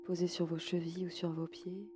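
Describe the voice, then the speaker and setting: A woman guiding a relaxation session slowly and calmly, in a soft, breathy voice that often nears a whisper. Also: fairly low pitch, noisy background. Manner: calm, soothing, unhurried. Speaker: podcast host and yoga nidra guide. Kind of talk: slow, soft guided relaxation.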